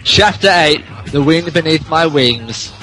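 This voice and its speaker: A man's voice: on the high side, expressive, measured pace, loud music behind the voice. A man narrating a fan fiction as a mock-dramatic podcast reading, theatrical but stumbling over the text, with asides. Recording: podcast with faint background noise.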